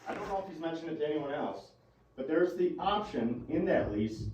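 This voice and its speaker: A man in his thirties speaking haltingly at a county meeting, with stops and restarts. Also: on the high side. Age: 30s. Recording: echoey, far from mic, dull, noisy.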